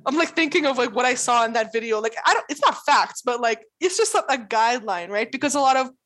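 A woman chatting casually in an interview, talking in quick bursts full of "like" and laughing often. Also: a little high, lively intonation, a bit bright. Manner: chatty, fills speech with "like". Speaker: interviewee, new employee. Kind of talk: casual conversation.

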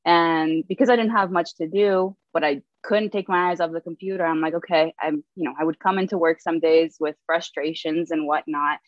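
A woman in her twenties, a guest author, telling her story in a relaxed way with frequent fillers and light laughs. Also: sits low, normal speed, few pauses, no room sound. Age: 20s. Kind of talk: casual storytelling. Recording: dull, lo-fi dry audio.

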